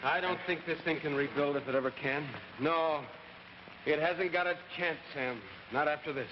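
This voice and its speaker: An actor playing an earnest, grimly resolute loyal friend in a 1950s sci-fi television drama. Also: male voice, a little high, moderate pace, natural voice. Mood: relieved. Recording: muffled, very noisy vintage 1950s broadcast.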